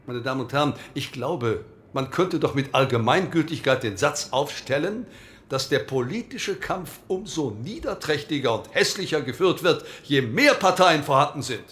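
A man's voice: fairly high pitch, fairly bright tone. An actor playing a postwar male parliamentary delegate who gives an earnest, oratorical speech and argues back when heckled. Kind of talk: radio drama, parliamentary speech.

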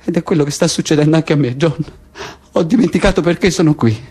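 A man's voice: fairly high pitch, fast talker, dark tone, slightly rough. A man acting a bitter, despairing political prisoner in an intense, emotional stage drama. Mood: anguished, bitter. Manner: foul-mouthed, emotional, repetitive. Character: Winston, bitter despairing male political prisoner in South Africa. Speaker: stage actor. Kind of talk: theatre acting, intense naturalistic dialogue.

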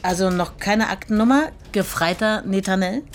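A woman playing a brisk, procedural office clerk who interrupts and repeats details back in an official way. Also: medium pitch, lightly acted. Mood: busy, official. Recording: faint ambient background noise.

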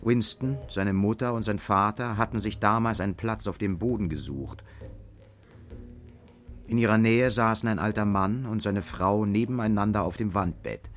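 A male narrator tells a radio drama story from a script. His voice sits low, with music faintly behind it. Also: moderate pace.

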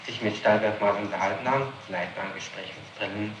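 Man, prosecutor, formal questioning, halting phrasing, insistent, reverberant room, noisy archival tape. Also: on the low side, fairly even intonation, a bit bright, muffled recording. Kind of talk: formal interrogation.

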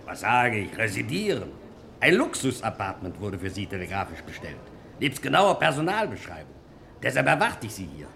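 An actor playing a fawning, pompous greeter from a luxury hotel in a radio crime play, with florid, formal manners. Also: male voice, lowish voice, wildly swooping pitch, normal speed, gravelly, fairly bright tone, a little hiss or hum, full character voice. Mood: fawning, grandiose.

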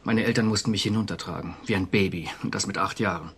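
An actor in a German radio drama playing an anxious, conscientious civil servant, confessional and agitated. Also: male voice, low voice, quick pace, fairly bright tone, breathy edge, heavily acted. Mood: haunted, confessing.

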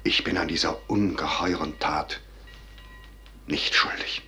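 A noble, righteous elder general, declaims in a very deep, forceful voice. He is solemn and weighty, in the manner of a 1950s radio drama, with faint music behind. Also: steady tone, a little slow, full character voice. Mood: solemn horror.

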